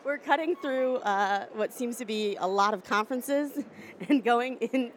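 A woman hosting her podcast on the move, playful and quick to laugh, interviewing a guest. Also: a little high. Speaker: podcast host. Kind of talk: casual walking interview. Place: busy public building, indoors.

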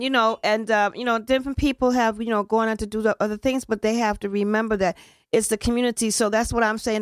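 A woman's voice: a little high, no echo, clean studio sound. A woman who organizes a community celebration, talking casually in an interview. She is earnest and rambling, restarts her sentences often, and drops in casual slang.

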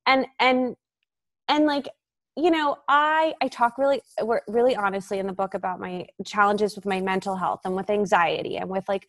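A woman's voice: medium pitch, normal speed, fairly bright tone, no echo, clean studio sound. A woman in her thirties, an author and interview guest, talking in a casual, reflective way with many fillers. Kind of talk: casual podcast conversation.

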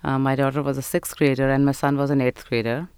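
A woman answers an interviewer in an earnest, measured way, pausing on small fillers. Her voice is smooth and clear, recorded close and dry. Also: a bass voice, flat delivery, a little mellow, dry recording. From Pakistan. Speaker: guest.

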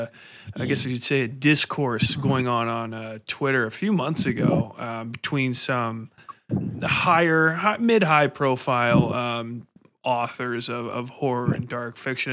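A man hosting a casual podcast interview, speaking informally in halting phrases with repeated words. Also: mid-range voice, bright, forceful tone.

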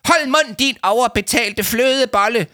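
A man voicing a pompous, furious middle-aged male official who shouts and rants in a shrill voice. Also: sing-song, full character voice. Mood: raging. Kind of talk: audiobook character voice.

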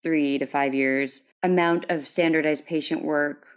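A man's voice: on the high side, fairly even intonation, unhurried, smooth. A man hosting a podcast, interviewing in a conversational way with wordy questions that he rephrases as he goes. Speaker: podcast host.

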